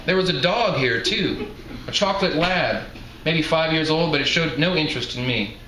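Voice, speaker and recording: A man's voice: on the high side, lively intonation, moderate pace, bright, forceful tone. A man reading first-person literary narration aloud from his own novel as its author. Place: live reading event indoors. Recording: echoey room, faint background noise.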